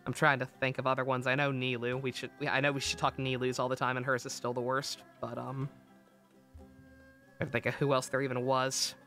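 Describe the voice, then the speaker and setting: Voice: mid-range voice, flat delivery, a little fast, sharp tone, faint music behind. A game streamer giving casual, rambling live commentary, peppered with swearing and exclamations.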